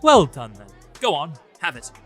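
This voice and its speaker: A man's voice: on the high side, wildly swooping pitch, normal speed, a bit bright, faint music behind, pitched above the performer's own voice. A man voicing a flippant, sarcastic young man in a Joker costume, with theatrical delivery in a story reading. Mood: loud, goading. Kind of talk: acted dialogue in a story reading.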